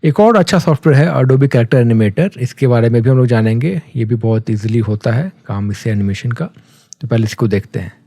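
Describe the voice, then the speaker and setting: An Indian-accented man walks a learner through software in a casual, conversational way. His voice is mellow and relaxed at an average pitch, recorded very dry. He explains step by step, slipping in English terms and checking in as he goes. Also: a little fast, no echo. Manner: conversational, mixes English terms, often checks in with a tag phrase. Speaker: software tutorial instructor.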